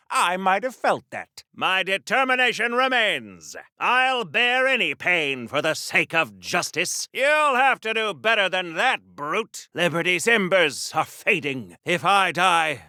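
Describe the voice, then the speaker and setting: A golden-age superhero for a video game, all melodrama and patriotic bluster. His voice is piercingly bright and swoops widely in pitch. He declaims and wisecracks like a 1940s radio serial hero, recorded very dry. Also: no room sound, heavily acted. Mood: defiant, melodramatic. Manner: theatrical, declamatory, corny wisecracking.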